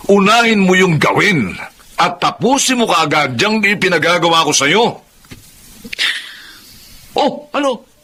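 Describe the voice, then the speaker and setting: Forceful, bullying Filipino boss. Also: male voice, high-pitched, heavily acted. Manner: berating, emphatic. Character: bullying, impatient male office boss. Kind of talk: Filipino radio drama acting.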